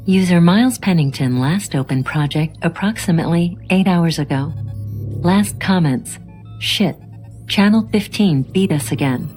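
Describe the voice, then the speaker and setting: A woman acts out a female AI software assistant for an audio drama, dark and soft-edged in tone. She speaks in formal, clipped phrases with detached courtesy, inside a long reverberant wash over faint music. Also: lowish voice, a little slow, close to an everyday voice. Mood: flat, detached. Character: emotionless female computer software voice assistant, coolly polite.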